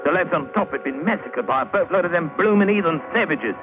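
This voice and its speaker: A man's voice: on the high side, lively intonation, fast talker, over music, lightly acted. An actor playing a plain-spoken British sailor-diver who tells his grim adventure colloquially, in old radio drama style. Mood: horrified. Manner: colloquial old-salt storyteller with rustic grammar. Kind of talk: 1940s-50s radio drama acting and narration. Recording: muffled, narrow-band, hissy old radio broadcast.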